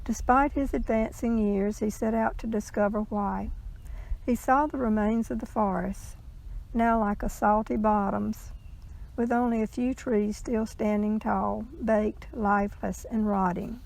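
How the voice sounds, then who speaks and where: A woman reading a legend aloud in a measured storytelling style, with the odd stumble. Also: medium pitch, fairly even intonation, vocal fry, a little mellow, rough recording.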